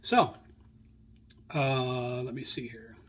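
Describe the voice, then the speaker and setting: A man hosting a radio hobby show, informally reading through his logs in a halting, self-correcting way as he searches his notes. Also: mid-range voice, fairly even intonation, fairly bright tone. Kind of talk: informal spoken log rundown, unscripted.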